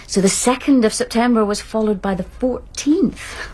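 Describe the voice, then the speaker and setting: An actress playing a knowledgeable woman scholar in a radio drama, explaining in a patient, measured, exact way. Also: a little high, darkish tone, slight background noise, natural voice, poor audio.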